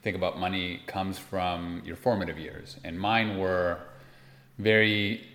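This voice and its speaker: A man's voice: deep voice, steady tone, measured pace, fairly bright tone. A man from Peru in his forties, an interview guest telling personal stories in a conversational way. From Lima, Peru. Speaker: interview guest, investment manager. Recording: roomy, echoey sound.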